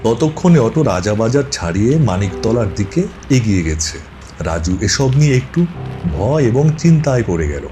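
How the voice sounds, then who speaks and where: Male narrator for animated ghost tales, lower register, over a loud music bed. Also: normal speed. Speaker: storyteller narrator. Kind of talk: scripted ghost story narration.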